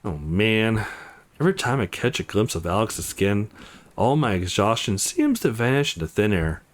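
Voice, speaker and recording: A man's voice: fairly low pitch, big pitch swings, normal speed, voice pushed down. A man voicing a smitten, worn-out young man in a visual novel, musing frankly and playfully to himself. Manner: musing, playful inner monologue.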